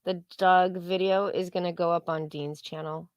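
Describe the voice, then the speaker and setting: A woman's voice: on the low side, fairly even intonation, moderate pace, smooth, no echo. Blunt, sarcastic woman on a dry-sounding livestream. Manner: blunt, sarcastic, frequent swearing. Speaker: co-host of a livestream. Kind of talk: casual live commentary.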